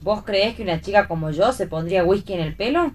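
A woman's voice: mid-range voice, lively intonation, a little fast, far from the mic, natural voice. A woman with an Argentine accent playing a flippant, theatrical young bohemian actress in a radio play reading, teasing and dramatic.

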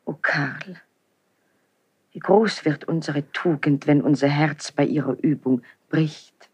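Slow, solemn, noble young queen. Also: deep voice, a little mellow, breathy edge, no room sound, exaggerated voice. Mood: solemn, fervent. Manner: solemn, declamatory. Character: noble, dignified young queen, fervent and self-denying. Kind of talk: classical German radio drama acting.

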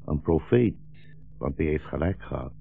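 An actor playing a weary, bitter old blind rabbi fleeing war, solemn and brooding, in a 1960s Dutch radio drama. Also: male voice, very deep voice, expressive, normal speed, vocal fry, breathy edge, soft background music, exaggerated voice. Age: older. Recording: dull, muffled 1960s radio recording.

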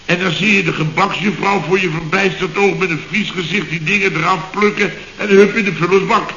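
A man's voice: high-pitched, fairly even intonation, a little fast, pushed and bright, slight background noise. A man playing a construction boss, telling a comic story in a radio sketch and peppering it with little tags. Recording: dull, echoey old radio recording.

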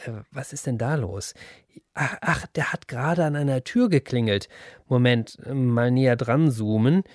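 A man playing a startled, curious onlooker in a radio play, muttering hesitantly and excitedly. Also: medium pitch, moderate pace, no room sound, clean studio sound, natural voice.

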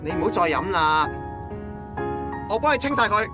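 A man's voice: high-pitched, moderate pace. An actor playing a goofy, lovelorn 27-year-old male friend with a Hong Kong Cantonese accent, joking and comforting in a radio drama. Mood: tender, joking. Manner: joking, animated. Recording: music behind the dialogue.

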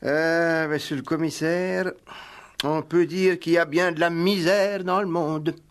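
Actor as a high-voiced, excitable police sergeant. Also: male voice, a little slow, smooth, close to an everyday voice. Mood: self-important. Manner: flustered, stammering, deferential, repeats words. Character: excitable, vain village police sergeant hungry for promotion. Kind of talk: 1960s French radio play acting.

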